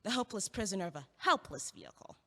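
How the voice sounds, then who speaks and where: Actress as an animated, bright-voiced young student. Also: female voice, medium pitch, fast. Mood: earnest, wry. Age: young adult. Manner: emphatic, argumentative. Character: young female student, earnest, stubbornly logical, feisty. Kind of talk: audio play acting. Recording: echoey hall with long reverb.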